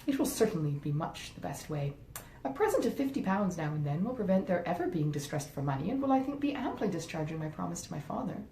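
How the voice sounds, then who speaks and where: Woman reading as a pompous, miserly gentleman, mellow tone. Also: very animated. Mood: self-satisfied. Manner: measured, self-satisfied, formal. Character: pompous, easily persuaded, miserly gentleman husband. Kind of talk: dramatized audiobook reading of a classic novel. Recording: roomy echo, faint rumbling noise.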